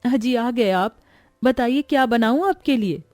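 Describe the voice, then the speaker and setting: A woman playing a kind, dutiful young mother and daughter-in-law in a cartoon story, gentle and respectful. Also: fairly high pitch, unhurried, dry recording, studio quality, lightly acted. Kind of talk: animated cartoon story acting.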